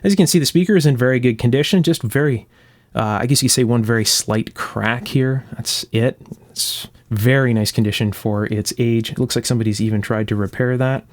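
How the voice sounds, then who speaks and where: A man's voice: mid-range voice, a little fast, no room sound. A man giving hands-on instructional commentary in a conversational, step-by-step way, as host of a repair show.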